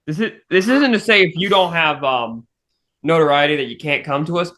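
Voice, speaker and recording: A man's voice: on the high side, brisk, fairly bright tone. A man chatting casually on a podcast, emphatic and often repeating his phrases. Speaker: fandub voice actor on a team podcast. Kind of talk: casual chat.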